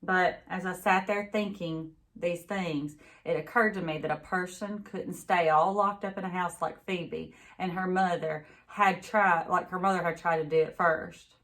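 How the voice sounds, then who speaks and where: Lower-pitched woman reading a novel aloud. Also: fairly even intonation, measured pace, few pauses, a bit bright. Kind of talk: expressive storybook read-aloud narration.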